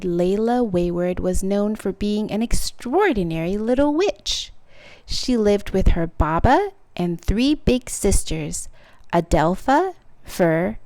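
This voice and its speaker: A woman's voice: medium pitch, darkish tone, no echo. A woman reading a children's picture book aloud expressively, drawing out key words for dramatic emphasis. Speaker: storytime reader.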